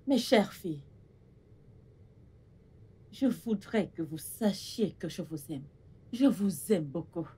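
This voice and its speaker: An actress playing a stern, domineering middle-aged mother in a French drama, insistent and husky-voiced. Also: on the high side, sing-song, a little slow, long pauses, exaggerated voice. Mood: soft, earnest. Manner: scolding, insistent, repeats demands. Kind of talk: dramatic film dialogue in French.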